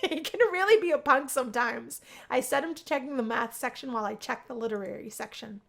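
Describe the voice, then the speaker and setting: Higher-voiced woman narrating a visual novel. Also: brisk, gravelly. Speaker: let's play reader.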